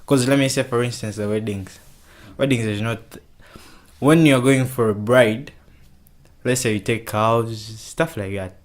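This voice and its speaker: A man's voice: mid-range voice, steady tone, measured pace. A man from Kenya answering interview questions casually, often repeating words as he starts.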